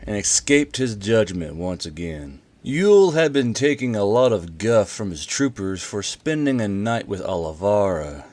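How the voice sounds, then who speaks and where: Low-voiced man narrating. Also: darkish tone. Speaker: channel host and narrator. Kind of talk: casual intro, then audiobook-style story narration.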